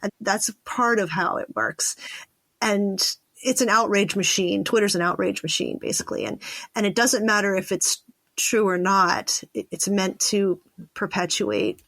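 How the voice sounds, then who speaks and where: A woman's voice: mid-range voice, animated, unhurried, a bit bright, dry recording. A woman hosting a podcast interview, speaking casually and stressing her points by repeating phrases.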